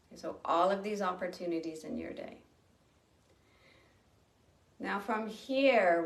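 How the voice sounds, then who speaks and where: A woman leading a guided breathing meditation, speaking slowly and gently with long pauses. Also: fairly low pitch, distant mic. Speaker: meditation teacher. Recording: roomy sound from off the mic.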